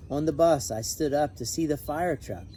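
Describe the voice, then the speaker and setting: A man reading a children's picture book aloud in an expressive, animated, emphatic way. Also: a little high, normal speed, a little hiss or hum. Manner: animated, emphatic on repeated refrain. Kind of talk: expressive storybook read-aloud with brief asides.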